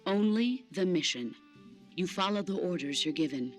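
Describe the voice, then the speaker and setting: A woman playing a composed, stern, philosophical military mentor in a video game, speaking with measured authority. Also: lowish voice, animated, soft background music, natural voice. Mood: solemn, instructive. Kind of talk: video game cutscene acting.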